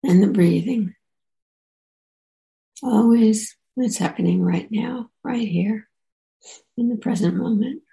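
A woman teacher leading a guided meditation in a soft, relaxed way, leaving gentle pauses. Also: medium pitch, vocal fry.

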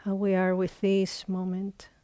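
A woman leading a guided meditation as a teacher, speaking in short phrases with long, unhurried pauses. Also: mid-range voice, monotone, warm tone, no echo.

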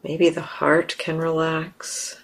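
A woman leading a guided meditation as a teacher, speaking gently in short, unhurried phrases with long pauses between them. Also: low-pitched, completely flat delivery, rough recording.

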